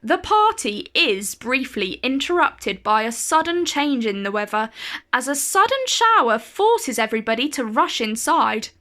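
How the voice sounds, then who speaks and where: A woman reading a children's picture book aloud as a storyteller, with deliberate phrasing and dramatic emphasis. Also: high voice, big pitch swings, sharp tone.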